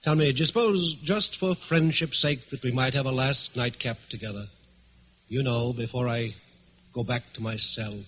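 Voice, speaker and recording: An actor playing a suave, composed gentleman thief, persuasive and commanding, in old-time radio drama style. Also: medium pitch, moderate pace, close to an everyday voice. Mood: smooth, resigned. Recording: dull, noisy vintage radio recording.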